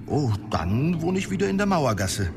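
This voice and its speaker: An actor playing a dithering wizard who explains things dryly and a little flustered in a German fantasy radio drama. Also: male voice, a little high, very animated, normal speed. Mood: matter-of-fact, resigned. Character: fussy, dithering wizard with a dry, bookish manner.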